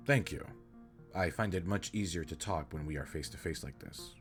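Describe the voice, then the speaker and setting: A man's voice: low-pitched, brisk, faint music behind, natural voice. A man playing an earnest, caring alien spaceship captain in an audio roleplay, speaking in stiff, formal, precise sentences. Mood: earnest, polite. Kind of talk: scripted roleplay audio drama, addressing the listener.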